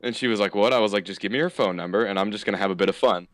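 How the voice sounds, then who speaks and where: Teen boy storyteller, low smooth voice, animated podcast banter. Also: very fast, no echo, clean studio sound. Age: teens. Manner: animated, laughing, jumps into high-pitched put-on voices. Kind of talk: casual chat, storytelling.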